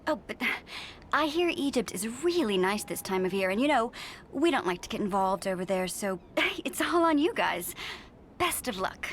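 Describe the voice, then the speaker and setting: An actress playing an American government agent in a video game, with brisk, insincere politeness and condescending veiled menace. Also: female voice, medium pitch, very animated, slight background noise, lightly acted. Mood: smug, breezy. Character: falsely chipper, veiled-threat female American government agent. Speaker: Homeland Security agent. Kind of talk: video game cutscene acting.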